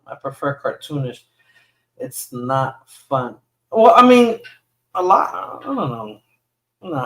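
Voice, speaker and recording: A woman with a New York accent, Spanish as her first language, hosting a casual livestream and rambling through replies to viewer comments. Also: low-pitched. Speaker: livestream host.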